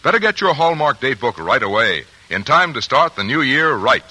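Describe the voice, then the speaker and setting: Friendly, bright old-time radio announcer man. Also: medium pitch, big pitch swings, moderate pace, husky, poor audio. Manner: friendly, persuasive. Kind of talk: radio commercial.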